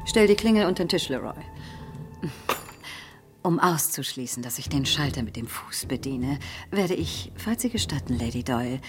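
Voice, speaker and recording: An actress playing a commanding, mysterious spiritualist medium in a German radio drama, moving between crisp orders and whispers. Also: female voice, extremely low pitch, animated, normal speed, music in the background. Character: commanding, theatrical female spiritualist medium holding a séance.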